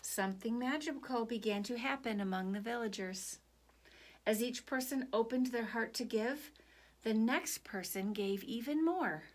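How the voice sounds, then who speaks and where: A woman storyteller reading a picture book aloud for children in an expressive, animated way. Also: a little high, unhurried, a little hiss or hum.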